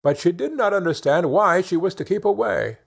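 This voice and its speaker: Expressive male fairy tale narrator, higher-pitched. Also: normal speed, vocal fry, breathy edge. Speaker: audiobook narrator.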